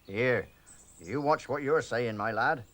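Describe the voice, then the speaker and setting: A man voicing a pompous, bumbling rural police constable in slow countrified dialect. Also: medium pitch, fairly bright tone. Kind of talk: 1960s children's TV animation voice.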